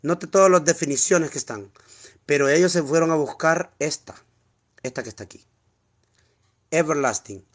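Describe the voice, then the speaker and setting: A man's voice: on the high side, moderate pace, pauses often. A man with a Honduran Spanish accent teaching the Bible in an informal, explanatory way, repeating key words and asking rhetorical questions. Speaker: preacher teaching scripture.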